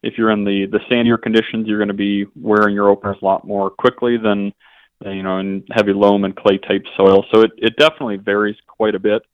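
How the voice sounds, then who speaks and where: Low-voiced man on a dull line. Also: little pitch movement, brisk, no room sound. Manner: explanatory, with frequent restarts. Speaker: equipment dealership guest. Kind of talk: interview answers.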